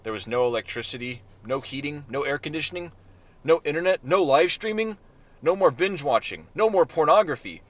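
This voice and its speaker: A man reading his own short story aloud in a deadpan manner, with self-mocking asides. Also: fairly high pitch, fairly quick.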